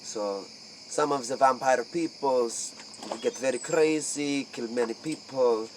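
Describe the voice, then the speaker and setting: A man voicing a solemn Transylvanian vampire who speaks halting, repetitive broken English in a spoof interview. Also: mid-range voice, lightly acted. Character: solemn Transylvanian male vampire from elite ancient clan. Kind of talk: improvised spoof interview role play. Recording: faint noise, chirping insects.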